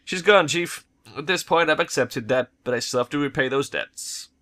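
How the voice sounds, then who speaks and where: A man's voice: on the high side, normal speed, fairly bright tone, voice pushed up, natural voice. A man voicing a hurt, heartbroken hacker in debt, a teammate in a cyberpunk game. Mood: dejected.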